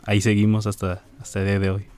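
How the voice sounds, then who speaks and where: A young man from the port of Veracruz answers as a student guest, nervous and brief. His voice sits a little low. Faint music plays underneath. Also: completely flat delivery, quick pace, a little mellow. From Port of Veracruz, Mexico.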